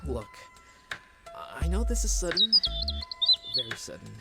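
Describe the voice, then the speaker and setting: A woman acts out a shy, overeager young man from a dating game. The voice is pushed low and halts awkwardly, with loud music and birdsong behind it. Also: very animated, unhurried. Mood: nervous, hesitant. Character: shy, eager, obsessive young man asking for a date.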